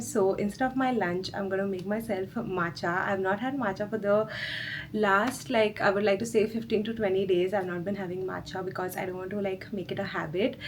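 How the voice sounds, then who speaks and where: A woman's voice: mid-range voice, a little hiss or hum. A woman chatting casually and informally as a lifestyle vlogger, often dropping "like" into her sentences. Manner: informal, chatty, frequent "like" as filler. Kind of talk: casual vlog chat.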